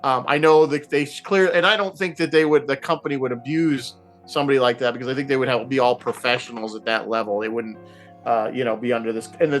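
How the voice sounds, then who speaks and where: Male panelist, mid-pitched, casual and opinionated, thinking aloud haltingly, music faintly underneath. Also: a bit bright. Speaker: panelist in a TV show discussion.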